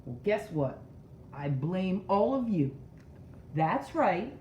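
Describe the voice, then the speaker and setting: A woman's voice: on the low side, sing-song, takes it slowly, a little mellow. A woman voicing an indignant, self-important male storybook character who accuses his friends with sarcastic melodrama. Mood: indignant, aggrieved. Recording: roomy echo, dull sound, faint noise.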